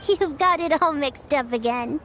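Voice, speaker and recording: A woman voicing a kind, polite little girl in an anime-style video game, childlike and gentle in manner. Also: high voice, moderate pace, slight background noise. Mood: gently coaxing. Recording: music behind the voice. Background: music.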